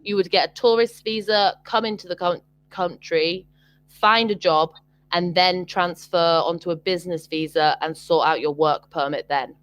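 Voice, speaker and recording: A woman's voice: fairly low pitch, fairly even intonation, moderate pace, a bit bright. Hesitant young British woman on a call. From Britain. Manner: hesitant, many ums and restarts. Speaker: online English tutor. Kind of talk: casual video call chat. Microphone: video call.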